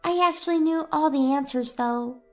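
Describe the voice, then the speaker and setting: Woman acting as young schoolboy, very high voice, clever, smug, bored, storybook dialogue. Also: normal speed, faint music behind, lightly acted.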